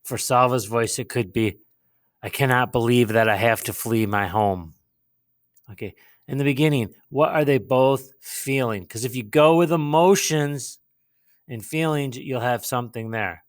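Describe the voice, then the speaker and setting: A man gives instruction as a teacher would, in a clean, resonant voice at middling pitch. He takes his time, laying things out step by step. He pauses often and repeats phrases as he models the task aloud. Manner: step-by-step explaining, pausing and repeating himself.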